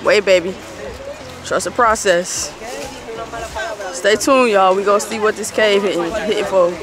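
A woman's voice: medium pitch, measured pace. A woman chatting casually to her viewers as a travel vlogger, joking and talking straight to the camera outdoors. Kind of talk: casual travel vlog chat. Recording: street crowd and music behind.

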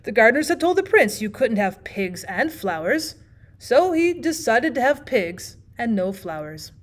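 Unflappable bachelor storyteller, read by a higher-voiced woman. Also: very animated, a little slow. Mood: prompt, explaining. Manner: unconcerned, prompt, matter-of-fact.

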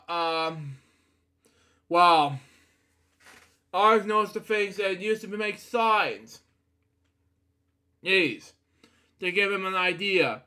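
Man, higher-pitched voice, bright and forceful, halting storybook reading, frequent asides. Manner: halting, often breaks off to comment. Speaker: storybook reader. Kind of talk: read-aloud bedtime story with asides. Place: indoor room at a computer.

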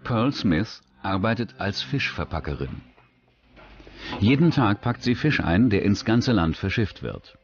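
A man's voice: lowish voice, expressive, unhurried, dull audio. A man reading scripted true-crime documentary narration as the narrator. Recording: music bed behind the voice.

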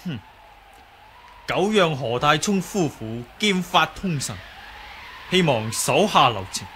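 A young martial hero speaking formal Hong Kong Cantonese. His voice is fairly high and expressive, with measured, slow phrasing. An old radio drama recording with light noise. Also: exaggerated voice. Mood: confident, polite. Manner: formal, composed.

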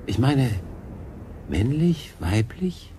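An actor playing a bewildered, stranded lone sailor in a radio play, halting and doubting his own sanity. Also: mid-range voice, big pitch swings, dark tone, slight background noise. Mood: bewildered, curious. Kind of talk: German radio drama acting.

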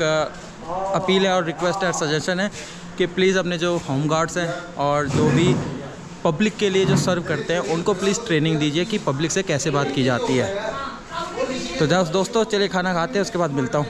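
A man's voice: a little high, normal speed, over a noisy crowd. A young man vlogging casually outdoors at a riverside camp, talking to his viewers as friends, with the camera held at arm's length. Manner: chatty, addresses viewers as friends. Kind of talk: casual travel vlog.

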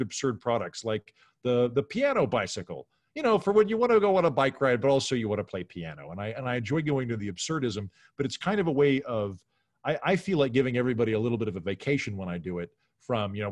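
A man's voice: fairly low pitch, no room sound. A middle-aged man speaking casually as a podcast guest, in a discursive, analytical way. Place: small furnished room at home, video call.

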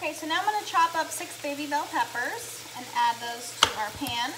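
A woman's voice: high-pitched, expressive, a little fast, heavy background noise. A young woman talking through her meal prep step by step while she cooks in her home kitchen, picked up by a camera across the counter. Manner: practical, step-by-step, while cooking. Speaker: home cooking vlogger. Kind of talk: casual cooking narration. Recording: echoey kitchen, distant mic, loud sizzling. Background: frying and sizzling food.

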